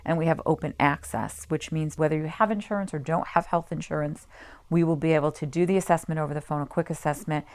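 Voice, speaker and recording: A woman on staff at a health center, explaining its services in a measured way with frequent pauses, over soft music. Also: deep voice, fairly even intonation. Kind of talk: promotional interview.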